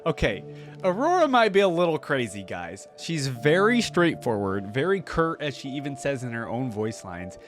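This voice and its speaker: A man's voice: mid-range voice, big pitch swings, moderate pace, music in the background. A man giving casual, conversational reaction commentary as a video host.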